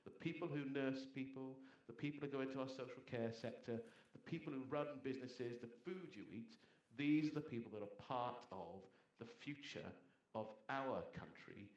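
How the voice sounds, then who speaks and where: A man's voice: medium pitch, moderate pace, darkish tone, echoey room, highs cut off. A middle-aged man born in Zambia, a former economy minister, making earnest, persuasive points on a conference panel. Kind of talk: panel discussion talk. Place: conference hall.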